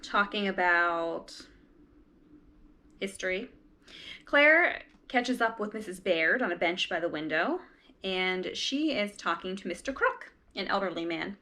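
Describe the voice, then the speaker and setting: A woman's voice: medium pitch, expressive, measured pace, sharp tone. A woman casually recapping and reading along with a book, talking in a conversational way with wry asides. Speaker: read-along host.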